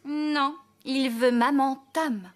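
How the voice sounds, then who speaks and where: A woman's voice: high voice, steady tone, slow. A woman playing a kind, practical farmer in a children's cartoon, speaking in a caring, decisive way. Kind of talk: children's cartoon dub acting. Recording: music bed behind.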